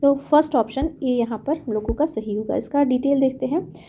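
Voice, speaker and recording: A woman teaching exam-prep grammar, going through questions step by step in a patient way, repeating points and pausing on thinking fillers. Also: high voice, warm tone, muffled recording, a little hiss or hum.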